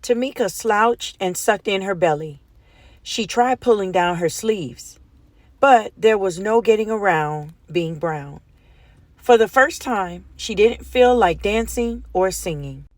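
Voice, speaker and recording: A woman reading a children's picture book aloud in an expressive storytime style. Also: medium pitch, takes it slowly, no echo. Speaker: storytime reader.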